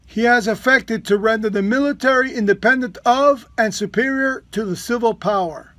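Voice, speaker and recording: A man with a high voice reads a historical document formally. The recording is dry, with little room sound. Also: normal speed, fairly bright tone, dry recording. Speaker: volunteer reader.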